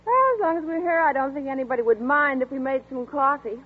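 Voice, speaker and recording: An actress playing a lively young wife who chatters brightly but scares easily, in 1940s radio acting. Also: female voice, high voice, close to an everyday voice. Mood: chatty. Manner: bright, chatty, with quick nervous laughs. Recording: tinny old radio broadcast with faint hiss.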